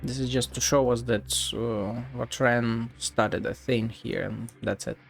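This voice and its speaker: A man's voice: mid-range voice, steady tone, measured pace, gravelly, soft background music. A man giving casual, offhand game commentary and reading text aloud, muttering now and then. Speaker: video game streamer.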